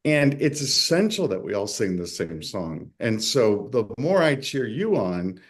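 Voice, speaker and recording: A man's voice: on the low side, sing-song, unhurried. Earnest man speaking on a panel. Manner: earnest, enthusiastic, encouraging.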